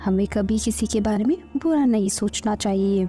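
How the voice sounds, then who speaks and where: A woman's voice: mid-range voice, moderate pace, dark tone. A woman storyteller reading scripted narration for a children's story. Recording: music under the voice.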